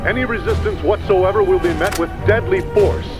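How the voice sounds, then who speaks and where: A man voicing a menacing militant in a video game cutscene, giving loud, formal, threatening commands. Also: high-pitched, fairly quick, over music, close to an everyday voice. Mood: coldly threatening. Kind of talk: dramatic video game acting.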